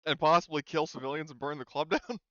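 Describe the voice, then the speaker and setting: Male game master, smooth clear voice, informal halting narration, dry close sound. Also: on the high side, a bit bright, no room sound, lo-fi sound. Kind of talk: casual tabletop game narration.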